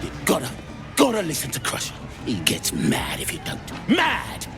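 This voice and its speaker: A man playing a dim, chatty goblin looter in a video game, with a croaky voice and an English working-class accent. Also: high voice, wildly swooping pitch, moderate pace, over music, full character voice. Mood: warning. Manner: chatty, uneducated. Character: dim, cheeky goblin looter with a secret soft side. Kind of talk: video game character acting.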